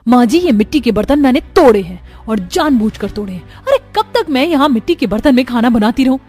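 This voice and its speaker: A woman voicing a young Indian daughter-in-law, polite but easily frustrated, in an earnest, expressive cartoon family story. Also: a little high, brisk, slightly rough, faint music behind.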